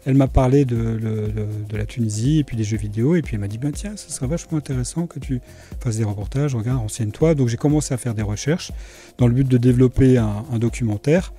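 A middle-aged man from France, answering interview questions in a hesitant way with many pauses. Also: mid-range voice, mellow, slightly rough, soft background music. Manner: hesitant, many fillers and restarts. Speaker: guest, video producer.

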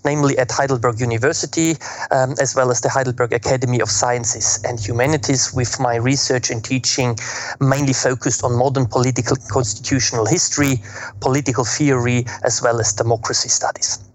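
A man's voice: mid-range voice, steady tone, a little fast, slight background noise, low-quality recording. A man from Austria, a guest policy advisor giving formal, orderly interview answers.

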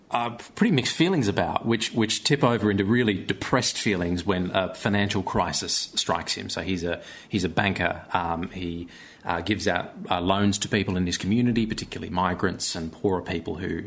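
A man with a fairly low voice talks as a guest. He explains in a conversational, thoughtful way. He often hesitates and restarts as he finds his phrasing. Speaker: podcast guest, theatre maker.

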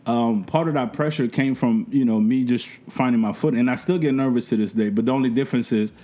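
A young man with a deep voice, a host and podcaster telling stories about his work in relaxed, rambling talk full of slang. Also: a little fast. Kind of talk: casual podcast conversation.

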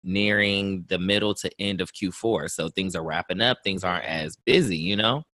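A man with a slightly low voice chats as a podcast co-host. He is colloquial and playful, prompting conversation, in a close, dry recording. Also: moderate pace, bright, forceful tone, no echo. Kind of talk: casual podcast chat.

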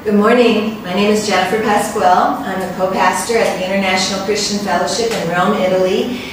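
A woman's voice: medium pitch, steady tone, fairly quick, over music. A woman co-pastor hosting a church women's group discussion, encouraging and affirming as she talks. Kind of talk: warm church talk. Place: church resource center. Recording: echoey room from a distance, noisy.

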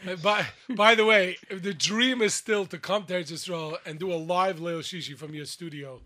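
A man hosting a radio show from a studio, talking excitedly with lots of fillers and restarts. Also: high-pitched, moderate pace. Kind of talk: lively radio chat.

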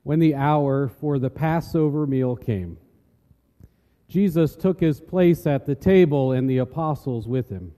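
A man's voice: on the high side, unhurried, mellow. A man narrating scripture from a script as part of a dramatic reading. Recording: echoey hall with long reverb.

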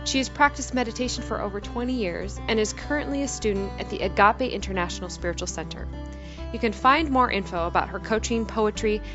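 A woman hosting a podcast reads a scripted introduction with eager enthusiasm. Music plays clearly behind her, and the audio sounds a little dull. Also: mid-range voice. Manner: warm, enthusiastic read. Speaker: podcast host.

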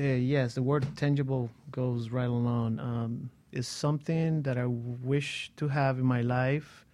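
Man speaking haltingly, searching words. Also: mid-range voice, darkish tone. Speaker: co-host, coach. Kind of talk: casual radio talk.

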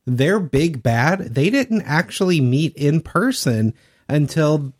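A man's voice: fairly high pitch, animated, slow, a little mellow. A man co-hosting a podcast, chatting casually from his experience as a game master. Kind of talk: casual chat about running games.

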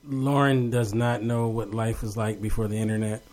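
A man's voice: on the low side, fairly even intonation, normal speed, a little mellow. A man hosting a casual chat, hesitant with many fillers and teasing his guests. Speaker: host.

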